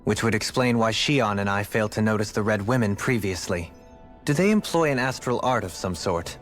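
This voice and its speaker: A man's voice: on the low side, animated, fairly quick, soft background music, close to an everyday voice. An actor playing a formal, analytical young man in a video game, speaking precisely and inquisitively. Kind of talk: video game cutscene voice acting.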